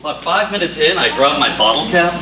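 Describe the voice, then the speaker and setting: A man's voice: a little high, animated, fairly quick. A man casually moderating a live audience talkback on a theater stage, chatty and joking. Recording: distant, echoey, very noisy theater with audience.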